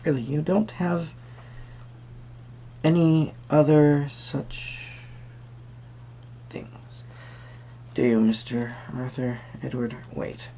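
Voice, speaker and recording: An eccentric, courtly male tarot reader, acted by a man in a soft roleplay. His voice is mellow and husky with a gravelly creak, and he muses theatrically and politely, drifting into murmured asides. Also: a little high, fairly even intonation, very slow, long pauses, heavily acted. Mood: pensive, mildly fussy. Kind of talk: soft-spoken tarot reading roleplay to the viewer.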